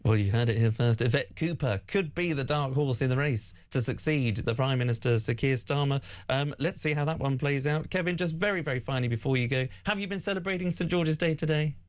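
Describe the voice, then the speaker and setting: A man's voice: mid-range voice, a little fast, few pauses. A man guest-presenting a talk radio show, asking long winding questions in a chatty, teasing way.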